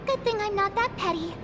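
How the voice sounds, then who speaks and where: Cutesy teen girl adventurer for anime game: extremely high pitch, casual, slightly huffy, takes her time. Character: perky, cute teenage girl adventurer, a little huffy. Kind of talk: anime-style video game voice acting.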